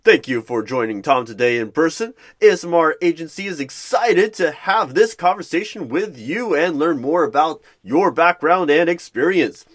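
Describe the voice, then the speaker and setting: Man playing an eager, awkward recruiter, swooping pitch. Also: animated, normal speed, few pauses, lightly acted. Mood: polite, upbeat. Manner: theatrical, announcer-like bursts, stilted third-person self-narration. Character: eager, awkward male recruiter narrating himself in third person. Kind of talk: ASMR roleplay of a job interview.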